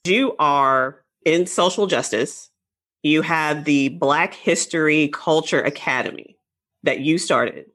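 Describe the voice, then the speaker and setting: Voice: mid-range voice, takes it slowly, a bit bright. A podcast host introducing a guest and asking questions in an upbeat, encouraging way. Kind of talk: podcast interview.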